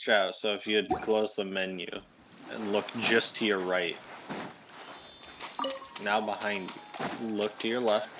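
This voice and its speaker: A man's voice: on the low side, little pitch movement, measured pace, long pauses, noisy background. A man chatting casually as co-commentator on a game, with a dry, teasing manner. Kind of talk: casual gaming chat. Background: game music.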